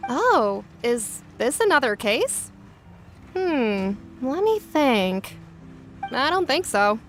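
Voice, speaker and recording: Breezy blunt gunsmith shop girl, high voice that goes all over, video game, bit of music. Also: slow, heavily acted. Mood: breezy, pondering. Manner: casual, animated, blunt. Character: breezy, no-nonsense female gunsmith shopkeeper. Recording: faint music behind.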